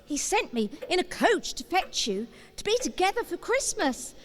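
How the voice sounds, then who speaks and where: A woman's voice: very high voice, very animated, moderate pace. A woman playing an affectionate young girl, an eager and effusive little sister, in a radio play. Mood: joyful, excited.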